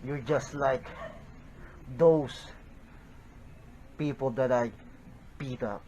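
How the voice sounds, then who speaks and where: A man from the Philippines, a pro wrestler cutting a slow, deliberate, menacing promo against a rival. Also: mid-range voice, steady tone, dark tone, a little hiss or hum.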